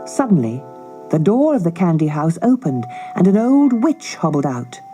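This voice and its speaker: A woman storyteller narrating a scripted fairy tale for children in a measured, dramatic way. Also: on the low side, very animated, dark tone, faint music behind.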